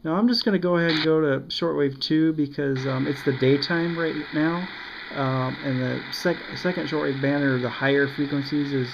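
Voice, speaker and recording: A man talks through a gadget demo in a gravelly, relaxed voice, stopping often to search for words. The recording is lo-fi and muffled, buried under loud radio static hiss. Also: fairly high pitch, normal speed. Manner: hesitant, many ums and restarts. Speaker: radio reviewer. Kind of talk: casual product demo.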